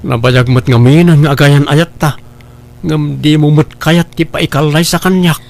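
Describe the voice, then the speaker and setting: A man's voice: fairly high pitch, fairly even intonation, normal speed, vocal fry, darkish tone. A man with an Ilocano accent playing an impatient, pushy, complaining suitor in a comedy drama. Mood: pleading. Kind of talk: comedy radio-style drama acting.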